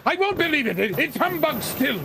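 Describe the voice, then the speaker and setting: A man's voice: extremely high pitch, sing-song, brisk, creaky, noisy background, heavily acted. A man playing a grumpy, bullying old miser in an old-time radio drama, barking and grumbling, easily rattled into stammering. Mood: rattled, fearful.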